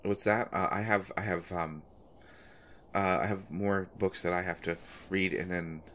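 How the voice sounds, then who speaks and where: A man performing an intimate audio roleplay as a nervous, eager-to-please young man who talks in halting, flustered stammers. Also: sits low, steady tone, fairly bright tone, a little hiss or hum. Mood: earnest, awkward. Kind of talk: intimate one-sided audio roleplay drama.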